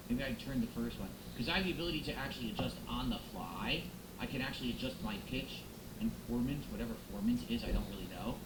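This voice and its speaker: Chatty streamer man in an echoey room. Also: mid-range voice. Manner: chatty, thanking viewers, musing aloud. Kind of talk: casual live-stream chat. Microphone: close XLR microphone. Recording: echoey room with background noise.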